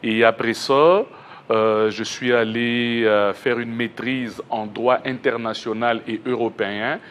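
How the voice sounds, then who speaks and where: A middle-aged man from Kinshasa with a Québec-tinged French accent, a researcher answering interview questions in a measured, modest way in a TV studio. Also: mid-range voice, steady tone, a bit bright, a little hiss or hum. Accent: Québécois-tinged French. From Kinshasa, Congo. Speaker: education researcher, guest.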